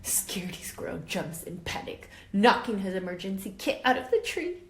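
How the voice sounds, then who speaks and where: A woman reading a picture book aloud to children as a teacher, dramatic and expressive, pausing to ask her listeners questions. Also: medium pitch. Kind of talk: animated children's read-aloud with asides to listeners. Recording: roomy echo with faint background noise.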